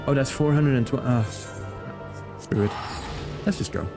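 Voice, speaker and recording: A man's voice: mid-range voice, normal speed, music in the background. A man chatting casually and playfully with viewers as he plays and comments on a game on a live stream.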